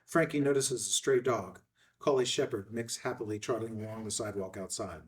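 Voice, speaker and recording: A man's voice: fairly low pitch, a little fast. Distant-miked man reading screenplay directions. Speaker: narrator reading stage directions. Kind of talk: scripted screenplay narration.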